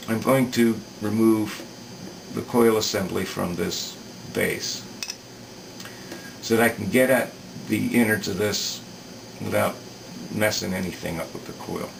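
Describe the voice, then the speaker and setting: A man talks through a hands-on technical repair at a very unhurried pace, pausing to think aloud. His voice is on the low side with a gravelly fry. He sounds some way from the microphone, with clear noise behind him. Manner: halting, thoughtful, thinking aloud. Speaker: repair video maker demonstrating electronics work. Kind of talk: casual unscripted technical explanation. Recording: distant mic with clear background noise.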